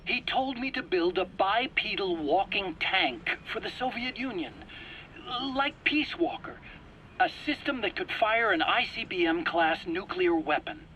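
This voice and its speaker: A man's voice: high-pitched, lively intonation, unhurried, pushed and bright, dull audio, slight background noise, full character voice. An actor playing an anxious, self-pitying male scientist in a video game cutscene, pleading and stammering indignantly in his own defense. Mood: proud explaining. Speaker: accused scientist under interrogation.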